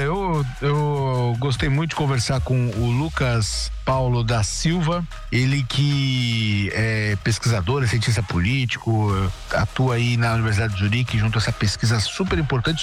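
A man with a Brazilian accent hosting a podcast interview, talking with frequent restarts and fillers. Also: mid-range voice. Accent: Brazilian Portuguese. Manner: hesitant, many restarts and fillers. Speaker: podcast host. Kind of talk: interviewing a guest.

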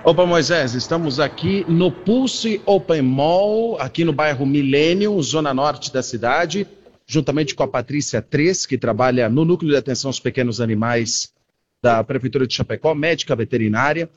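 High-voiced male reporter on dull link. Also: moderate pace, faint music behind. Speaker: radio news reporter. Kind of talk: live on-location report. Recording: dull lo-fi remote radio link.

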